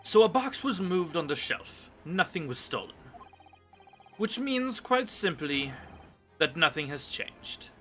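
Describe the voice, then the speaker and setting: A man acts out a smug, dismissive prosecutor from a courtroom game. The voice is bright and forceful, a little high, with a theatrical scorn. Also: big pitch swings, slow pace, soft background music, full character voice.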